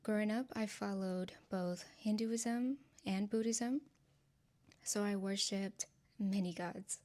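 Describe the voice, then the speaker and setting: Soft earnest nepali-born woman in her 20s, talks slow and gentle, lots of ums. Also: medium pitch, steady tone. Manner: soft-spoken, earnest, with frequent ums and light laughs. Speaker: channel host sharing testimony. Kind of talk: personal testimony to camera.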